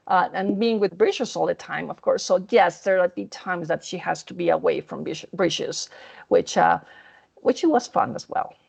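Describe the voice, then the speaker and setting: A woman author answering interview questions over a video call, earnest and halting, often restarting her sentences. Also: mid-range voice, gravelly, a little mellow, breathy edge.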